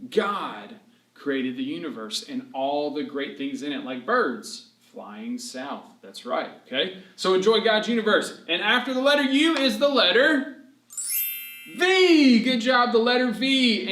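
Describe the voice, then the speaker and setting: A man leading a read-aloud lesson for children as a storyteller, animated and encouraging, asking questions and answering them himself. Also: high voice, takes it slowly. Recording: roomy echo, distant microphone.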